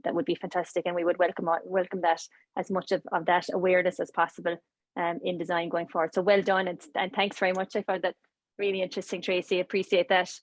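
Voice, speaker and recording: A woman's voice: lowish voice, no echo. A woman hosting an online panel interview, encouraging and full of fillers and repeated words. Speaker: webinar host.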